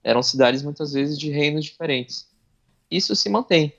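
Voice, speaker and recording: Man, podcast panelist, fairly high voice, explaining, corrects himself, dull lo-fi sound. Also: moderate pace, vocal fry, fairly bright tone. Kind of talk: explaining history in a casual panel.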